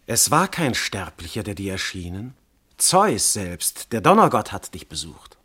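An actor playing a commanding, seductive god posing as a noble husband, declaiming classical radio verse in a theatrical, grandiose manner. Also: male voice, on the low side, very animated, unhurried, sharp tone, husky, full character voice. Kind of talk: classical German stage verse in a radio play.

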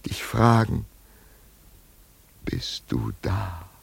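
Slow, gravelly, brooding old father. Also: sits low, sing-song, exaggerated voice. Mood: tender, mournful. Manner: slow, halting, brooding delivery with sudden swells. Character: grieving wealthy old father, brooding and yearning. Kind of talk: German radio play monologue.

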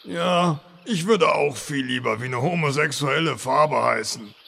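A sullen, sarcastic old-school cartoonish turtle for a comedy radio play. The voice is pitched high, slow and full of gravelly creak, grumbling along with weary indignation. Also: male voice, fairly bright tone, full character voice. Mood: indignant. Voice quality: gravelly, raspy. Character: grumpy, sarcastic, very slow male turtle mount.

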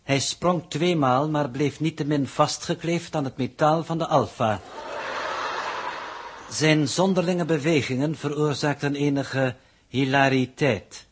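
Slow, husky, formal alien coordinator. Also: male voice, fairly high pitch. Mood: dry, pedantic. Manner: stilted, formal, ponderous phrasing. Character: formal, pedantic alien coordinator in disguise, reporting to viewers. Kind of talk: 1960s Dutch radio drama acting.

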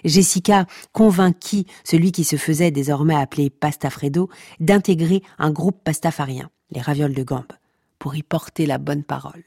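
An actress playing a droll narrator of a comic saga, wry and mock-solemn, speaking straight to the listener. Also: female voice, deep voice, warm tone, no room sound, clean studio sound. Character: droll, mock-epic female storyteller of a pasta-cult saga.

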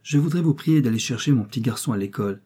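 A man's voice: mid-range voice, expressive, quick pace, warm tone, breathy edge. A man reading the part of a reserved, wary young widow who answers curtly and with restraint. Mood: restrained.

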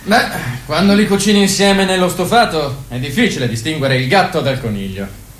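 Sardonic commentator in a radio drama, sour asides, roomy echo. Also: female voice, low voice, lively intonation, normal speed.

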